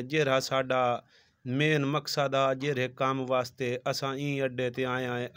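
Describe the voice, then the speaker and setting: Pakistani village vlogger, smooth voice. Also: male voice, mid-range voice, a bit bright, no room sound. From Punjab, Pakistan. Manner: talks to viewers, repeats "viewers" often. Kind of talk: casual travel vlog narration. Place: outdoors on village roads, then a shoe shop. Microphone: phone held at arm's length.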